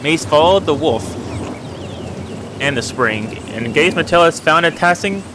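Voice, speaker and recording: A man's voice: fairly high pitch, expressive, a little slow, noisy background. A man voicing a friendly, humble village miller in a video game, chatting in a folksy way about old legends. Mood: eager storytelling. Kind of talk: reading video game dialogue aloud.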